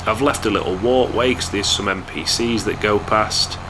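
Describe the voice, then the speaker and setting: Hoarse chatty man with a cold. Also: lowish voice, moderate pace, background noise. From England. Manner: chatty, relaxed, talks straight to viewers. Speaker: gaming YouTuber. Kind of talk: casual gameplay commentary.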